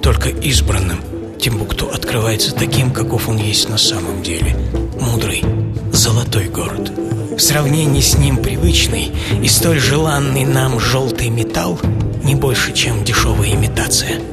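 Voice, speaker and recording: A man narrating a scripted radio documentary in a theatrical storytelling manner, with deliberate pauses. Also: medium pitch. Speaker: narrator and author of a radio travel series. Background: music bed.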